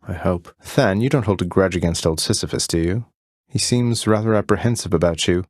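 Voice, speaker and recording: A young rebel prince of the underworld speaks in a deep British voice. He is courteous but wry, delivering his game dialogue with casual understatement. The sound is dry, with no room around it. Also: brisk, darkish tone, no room sound. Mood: curious, earnest. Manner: dry, understated, conversational politeness. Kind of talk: video game character dialogue.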